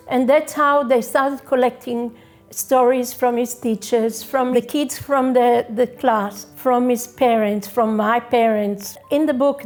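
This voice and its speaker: A woman sharing family memories in a documentary interview. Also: high-pitched. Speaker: interviewee, relative of fallen soldier. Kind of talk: personal documentary interview recollection. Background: music.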